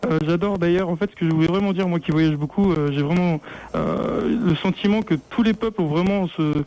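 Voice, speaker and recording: A man's voice: on the high side, brisk, darkish tone, poor audio. A male caller from France, talking earnestly on a radio phone-in and using lots of fillers. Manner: earnest, full of fillers like "en fait". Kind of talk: call-in radio chat.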